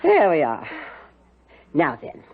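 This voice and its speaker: An actress playing a stern, secretive housekeeper aunt, brusque and commanding, in a 1970s radio drama. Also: female voice, sits low, wildly swooping pitch, slow pace, slightly rough, heavily acted. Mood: brisk, instructing. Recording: muffled old radio broadcast.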